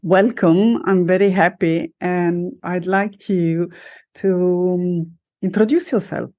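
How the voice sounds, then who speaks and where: A woman hosting a podcast interview as a life coach, speaking in a measured way with some fillers and self-corrections. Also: lowish voice, fairly even intonation, mellow.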